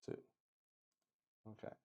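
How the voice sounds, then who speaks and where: A man narrating a solo tabletop roleplaying game as he plays, thinking aloud and speaking haltingly. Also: mellow, highs cut off. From Luxembourg.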